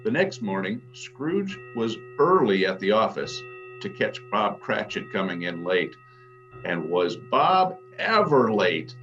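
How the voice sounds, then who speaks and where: Genial announcer-narrator for a holiday radio play, male performer, scripted storytelling, muffled sound with clear musical underscore. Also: on the low side, big pitch swings, slow, a bit bright, faint music behind, heavily acted. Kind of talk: old-time radio drama narration.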